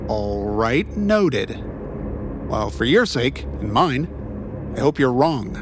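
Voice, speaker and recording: A man playing a wisecracking, awkward private detective with a rough ex-cop edge in an audio drama. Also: mid-range voice, very animated, takes it slowly, noisy background. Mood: earnest. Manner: flustered stammering, flippant quips.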